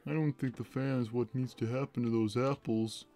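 A man voicing a big, gentle, timid cartoon cat who speaks simply and hesitantly while playing a game on a livestream. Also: mid-range voice, steady tone, normal speed, close to an everyday voice. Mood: timid, unsure. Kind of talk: in-character gaming livestream chat. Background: game music.

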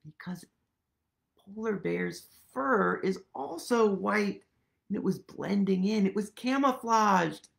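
A man in his thirties reads a picture book to children in a highly animated voice that swings up and down. He takes it very slowly, with playful wonder and a little creak, asking the listeners questions. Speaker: storytime reader. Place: small room at home, indoors.

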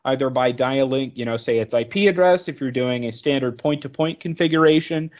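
A man with a medium-pitched voice talks through a training presentation. He is informal and explanatory, thinking aloud as he goes. The recording is a little dull and lo-fi. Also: fairly quick. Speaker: product trainer. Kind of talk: informal slide presentation narration.

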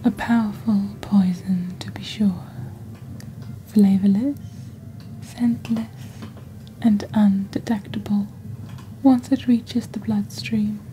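Woman playing a slow, whispering witch saleswoman. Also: mid-range voice, steady tone, soft background music, natural voice, low-quality recording. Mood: ominous, calm. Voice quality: soft, whispery, breathy. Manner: slow, deliberate, measured sales patter. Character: witch shop host peddling dark potions and poisons. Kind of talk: soft-spoken ASMR shopping-channel presentation.

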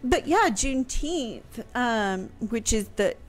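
A woman's voice: on the high side, animated, takes it slowly. A middle-aged American woman hosting a casual radio show, hesitant and dryly sarcastic, close on a studio microphone. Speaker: radio show host explaining history. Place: home room, indoors. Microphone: close boom studio microphone with headphones.

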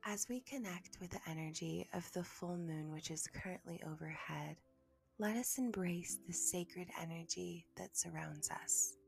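A woman with a soft, breathy, slightly low voice guides a meditation, often slipping close to a whisper. She speaks very slowly and soothingly, leaving long pauses, with a creaky edge to her words. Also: faint music behind. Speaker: meditation guide and podcast host.

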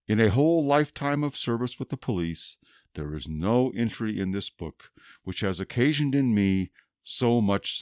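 An actor playing a stern, obsessive police inspector in a radio drama, speaking in a measured, formal, deliberate way. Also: male voice, on the low side, no room sound, clean studio sound. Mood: grim satisfaction. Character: stern, obsessive male police inspector dictating his notebook.

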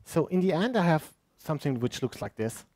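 Young male presenter, mellow tone, halting English, dry classroom sound. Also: fairly high pitch, big pitch swings, no echo. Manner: halting non-native English, restarts words. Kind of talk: cooking demonstration in English. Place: indoor classroom with audience.

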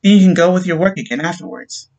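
A man voicing a grieving young wife who is sweetly persuasive, soft and overly polite. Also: high voice, fast, pitched above the performer's own voice. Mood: pleading.

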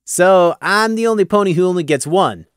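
A man voicing a dry, sardonic male sergeant with bat wings, talking deadpan. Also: fairly high pitch, moderate pace, no room sound.

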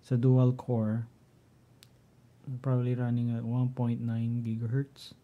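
A middle-aged man with a Filipino accent, talking through his home network setup in a halting way, often clearing his throat. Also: medium pitch, monotone, narrow, tinny audio. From Philippines. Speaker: tech vlogger. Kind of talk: casual screen-share walkthrough.

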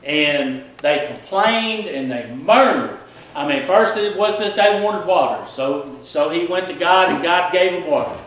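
A middle-aged man preaching in a small church, his fairly high voice bouncing around a very echoey room. He talks in a folksy, animated way, heard through a headset mic. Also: unhurried. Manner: folksy, animated, gesturing widely while preaching. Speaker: pastor. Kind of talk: sermon.

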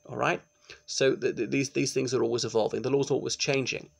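A middle-aged man, giving an informal law lecture to his webcam in a small room and often pausing with ums. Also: medium pitch, fairly even intonation, gravelly, breathy edge. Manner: chatty, explanatory, with frequent ums and restarts. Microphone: laptop webcam, close framing.

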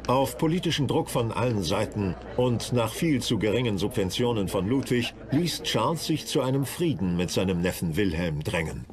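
A man's voice: fairly low pitch, lively intonation, moderate pace, few pauses, crowd noise. A man narrating a historical documentary from a script.

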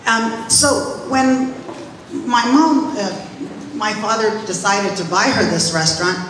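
A loud, lively woman tells a personal story on stage into a handheld mic. The recording comes from a distant camera in a large hall, with heavy echo and a long reverb tail. A faint hum of room noise sits underneath. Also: fairly high pitch, slow pace. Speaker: restaurant owner speaking at event. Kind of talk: personal story speech to audience. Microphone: handheld microphone, far camera. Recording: echoey hall from far off.

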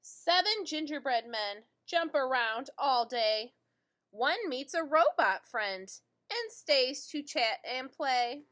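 Female primary teacher, middle-aged, high-pitched and slow, singsong picture-book read-aloud for little kids. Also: very animated, fairly bright tone. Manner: singsong, deliberate, addressing young children. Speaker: teacher reading to children. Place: indoor school classroom.

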